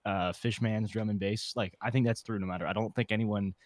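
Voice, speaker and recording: Low-voiced man in casual podcast chat. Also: fairly even intonation, fairly quick, dry recording. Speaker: podcast panelist.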